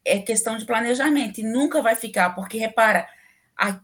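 A woman's voice: fairly high pitch, fairly quick, a bit bright. A middle-aged woman from southern Brazil with a southern Brazilian accent, a civil engineering professor speaking as a guest in a casual interview. She is chatty and opinionated, and her talk is full of fillers. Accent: southern Brazilian Portuguese.

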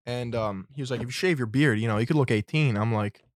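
A man's voice: medium pitch, quick pace, no echo, clean studio sound. A man in his early twenties in casual, profane podcast chat who laughs easily. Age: 20s. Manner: laid-back, laughs often, profane. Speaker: podcast co-host.

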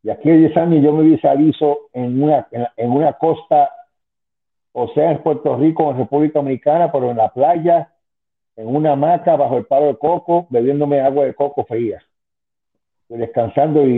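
A man's voice: medium pitch, moderate pace. A man in his fifties talks through a phone line, sounding tinny and thin. He rambles as an interview guest, restarting sentences and dropping asides. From Spanish-speaking, moved to the US at ten. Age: 50s. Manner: rambling, many restarts and "tú sabes". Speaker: guest, former wrestling manager. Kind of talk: casual interview on a call.

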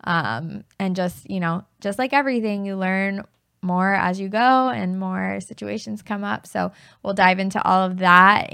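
Woman, losing her voice, gravelly, dry close sound, casual podcast chat. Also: medium pitch, fairly bright tone, no room sound. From Florida. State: losing her voice, deeper than usual. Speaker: podcast co-host.

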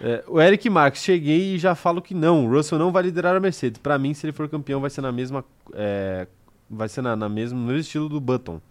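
A man's voice: fairly high pitch, quick pace. A young man from the interior of São Paulo with its accent, co-hosting a casual live stream, reading chat comments and joking with slang. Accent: Brazilian Portuguese, São Paulo interior. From interior of São Paulo state, Brazil. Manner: animated, bursts into laughter, slangy.

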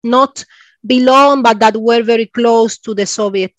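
A woman in her forties from Cuba, speaking English with a Cuban Spanish accent as an interview guest, often pausing for words and laughing. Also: a little high, fairly even intonation, no room sound, dull audio. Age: 40s. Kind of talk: interview conversation.

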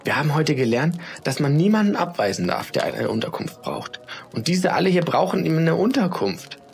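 A man's voice: on the high side, very animated, a little fast, gravelly, pitched above the performer's own voice. A proud, cheerful little girl, put on by a man reading a storybook. She chirps her lines in a raised voice, sure of herself, with a faint hiss in the recording. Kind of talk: storybook read-aloud.

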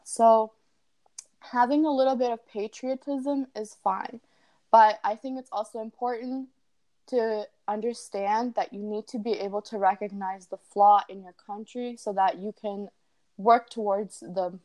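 A woman's voice: medium pitch, steady tone, unhurried, a little mellow. Woman, casual conversation, hesitant, thoughtful.

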